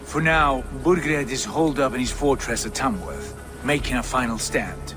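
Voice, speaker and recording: An actor playing a confident Viking jarl in a video game cutscene, speaking in a smooth, reassuring way. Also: male voice, medium pitch, moderate pace, music in the background, natural voice.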